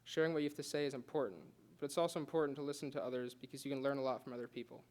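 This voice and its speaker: Young male student, quick delivery, earnest speech with a light stutter. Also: medium pitch, fairly even intonation, a little mellow. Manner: earnest, with occasional stutters and restarts. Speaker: student giving a class speech. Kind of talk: prepared speech read aloud.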